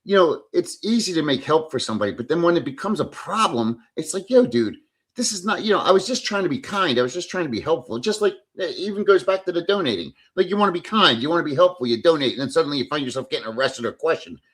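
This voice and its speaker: A man's voice: on the high side, lively intonation, fast, a bit bright. Blunt, swearing male livestream host. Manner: blunt, swears freely, rambling and chatty. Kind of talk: casual livestream chat reading comments.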